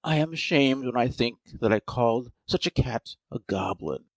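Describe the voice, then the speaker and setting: Poor earnest artist, super swoopy dramatic voice, dry close sound, storybook tale. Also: male voice, medium pitch, expressive, normal speed, dry recording. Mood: moved, ashamed. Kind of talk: dramatic audiobook character reading.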